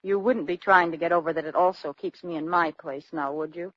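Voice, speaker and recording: An American actress playing a bored, flirtatious and sardonic boss's wife in a 1940s radio drama. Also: female voice, lowish voice, steady tone, brisk, natural voice. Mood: insistent, flirtatious. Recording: dull vintage 1940s radio broadcast.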